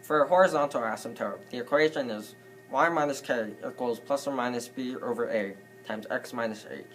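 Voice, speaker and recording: A man's voice: medium pitch, fairly quick, poor audio. Young guy reading out a math lesson like a student presentation, music playing behind him. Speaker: student presenter in a puppet show. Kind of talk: scripted math lesson narration. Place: classroom.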